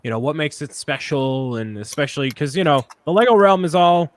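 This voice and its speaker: A man's voice: on the high side, animated, fairly quick. A man co-hosting a live video-call stream, playful and joking, prompting the others to talk. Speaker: co-host. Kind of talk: casual live-stream chat.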